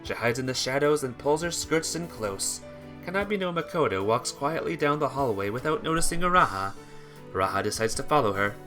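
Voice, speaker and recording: A man's voice: mid-range voice, animated, fairly quick, sharp tone. A man reading a scripted story aloud as narrator, with the occasional small stumble. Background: instrumental music.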